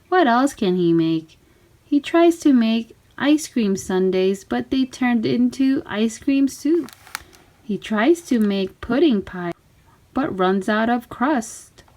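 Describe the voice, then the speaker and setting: A woman reading a children's storybook aloud in simple, deliberate phrases. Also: a little high, darkish tone.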